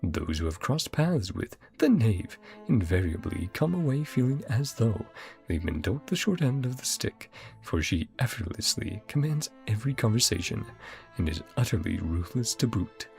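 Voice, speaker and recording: A man's voice: fairly low pitch, sing-song, normal speed, creaky, a little mellow. A man streaming a game, commenting casually and reading game text aloud. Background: faint game music.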